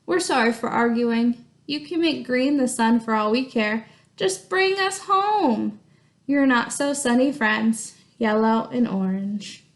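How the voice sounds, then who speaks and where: A woman's voice: fairly high pitch, slow pace. A woman voicing a pair of melted, fed-up crayons from a storybook, emphatic and weary. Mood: pleading.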